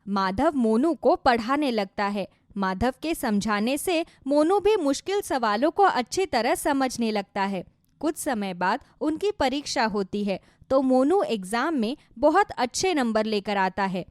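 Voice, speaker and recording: A woman's voice: on the high side, expressive, measured pace, no room sound, studio quality. A woman narrating a scripted moral story for children.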